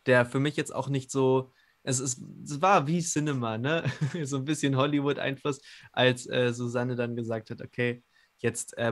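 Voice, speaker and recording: A man with a medium-pitched voice gives casual feedback on a group call. He proceeds haltingly, repeating and correcting words along the way. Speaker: tabletop player. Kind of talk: casual chat, giving thanks and feedback. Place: indoors on a group video call.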